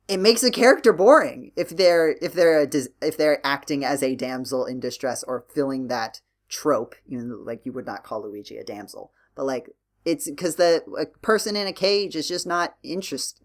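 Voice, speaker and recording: A man's voice: fairly high pitch, expressive, normal speed. Rambling, analytical man chatting on a podcast. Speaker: podcast host. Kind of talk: casual podcast discussion.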